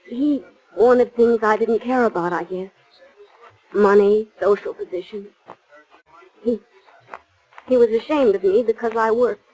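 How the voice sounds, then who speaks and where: A woman's voice: fairly high pitch, measured pace, pauses often, darkish tone, slightly rough, rough recording. An actress playing a reserved, subdued working woman who answers tersely, in 1940s radio drama style. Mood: guarded, somber. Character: guarded, subdued working woman, switchboard operator. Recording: remastered 1940s radio recording.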